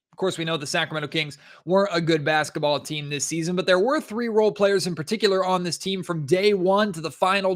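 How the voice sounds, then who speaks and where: Higher-pitched man hosting a sports podcast.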